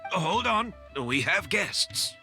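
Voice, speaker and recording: Animated, bright-voiced kindly older master. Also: male voice, high-pitched, slow pace, faint music behind, exaggerated voice. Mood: guarded. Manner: warm, humble, gentlemanly. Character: modest, kindly older master perfumer, male. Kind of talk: video game character acting.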